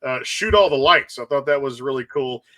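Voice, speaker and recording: A man's voice: medium pitch, lively intonation, normal speed, a bit bright. A man hosting an interview, asking long enthusiastic questions with many fillers. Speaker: podcast host. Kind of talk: casual interview chat.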